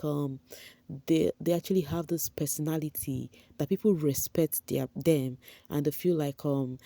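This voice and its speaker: A young woman chats straight to her viewers in a breezy, gossipy recap. Her voice sits low and mellow. The sound is close and dry, with no room around it. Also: creaky, no room sound. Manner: breezy, gossipy, with slangy asides and "you know" fillers. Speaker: entertainment vlogger. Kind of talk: chatty gossip recap to camera.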